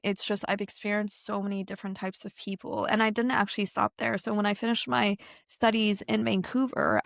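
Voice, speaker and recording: A woman's voice: medium pitch, gravelly, fairly bright tone, dry recording, clean studio sound. A French-speaking Canadian woman, a guest on a podcast, talking reflectively and stopping now and then to find her words. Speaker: podcast guest, business owner. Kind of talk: casual interview talk.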